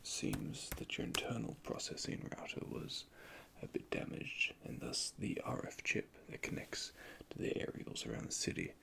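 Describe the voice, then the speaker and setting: A man whispering softly and methodically as he plays a repair technician in an ASMR roleplay, recorded close to the microphone. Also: very deep voice, moderate pace, vocal fry, few pauses. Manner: soft, calm, methodical, speaks in technical jargon. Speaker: ASMR creator playing an android repair technician.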